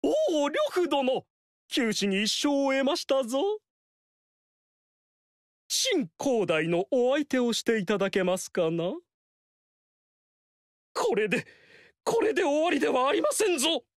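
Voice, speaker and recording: An actor voicing a flamboyant, fawning court strategist in a video game, speaking in a theatrical, courtly way and repeating phrases for emphasis. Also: male voice, very high voice, very animated, a little slow, pauses often, no echo, clean studio sound, exaggerated voice. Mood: flattering. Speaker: game character.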